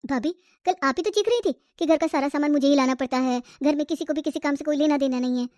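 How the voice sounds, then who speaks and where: A woman's voice: high voice, fast, a little mellow, dry recording, clean studio sound, lightly acted. A woman voicing an outspoken young sister who argues and protests defiantly in a cartoon family story. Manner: argumentative, protesting.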